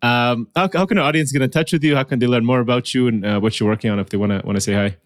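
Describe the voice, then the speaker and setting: A man's voice: mid-range voice, racing, no room sound, clean studio sound. A man hosting a podcast, chatting casually in an upbeat, informal way with his guest. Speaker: podcast host. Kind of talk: casual interview chat.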